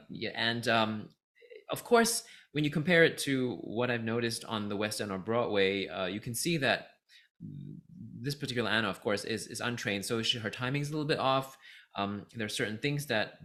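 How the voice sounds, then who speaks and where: Bright-voiced man from Singapore reviewing casually. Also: mid-range voice. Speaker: vlogger reviewing musicals, voice actor and teacher.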